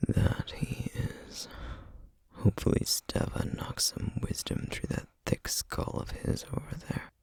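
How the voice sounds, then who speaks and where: A man playing a protective, duty-bound flame demon in an ASMR roleplay, speaking in a hushed, gravelly, halting and intense way. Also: dry recording, close to an everyday voice. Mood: somber, reassuring. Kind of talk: ASMR roleplay, scripted character acting.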